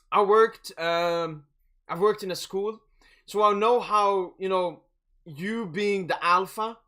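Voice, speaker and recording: Young male football streamer, high bright voice, cocky and joking, casual chat delivery. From Sweden and Algeria. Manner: boastful, jokey, prone to chuckling. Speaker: football watch-along livestream host. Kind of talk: casual livestream chat, match commentary.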